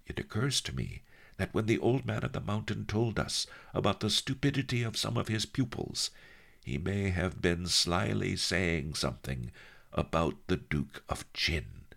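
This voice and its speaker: A man voicing a sly, commanding old sage in a story, with a deliberate, shrewd way of talking. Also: sits low, animated, creaky, a bit bright, pitched above the performer's own voice, full character voice. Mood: sly musing.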